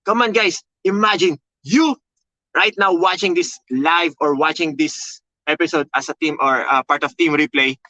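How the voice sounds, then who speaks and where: A man with a Filipino accent and a loud voice, teaching a motivational webinar with emphatic, high energy, repeating key phrases and often checking in with okay and all right. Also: normal speed, no room sound, rough recording. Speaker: content creation coach.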